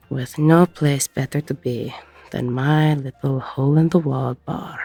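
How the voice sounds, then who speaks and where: A woman playing a weary, protective middle-aged ex-con bar owner in an intimate audio roleplay, with a husky, gravelly voice. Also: very deep voice, mellow, full character voice. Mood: teasing, wry.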